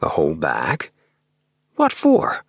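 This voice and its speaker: A man's voice: extremely low pitch, wildly swooping pitch, slow pace, vocal fry, husky, no echo, studio quality, full character voice. A man voicing a puzzled, reasonable young friend in a storybook, in a soothing, bemused manner. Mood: wry, curious. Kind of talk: storybook dialogue reading.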